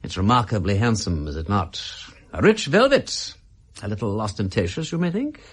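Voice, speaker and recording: An actor in a radio drama playing a witty, mocking British gentleman adventurer posing as a shady informer, with languid, teasing, flourishing speech. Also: on the low side, big pitch swings, moderate pace, slightly rough. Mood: theatrical. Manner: arch, languid, teasing, flourishing turns of phrase.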